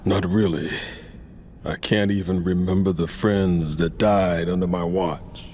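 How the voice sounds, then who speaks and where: A man's voice: low voice, steady tone, unhurried, warm tone, slight background noise. A man playing a somber, remorseful warrior in a video game, subdued and brooding. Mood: somber, regretful. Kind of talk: video game cutscene acting.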